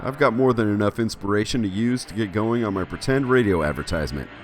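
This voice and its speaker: A man's voice: lowish voice, fast. A middle-aged man hosting an art vlog with scripted narration. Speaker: host, artist.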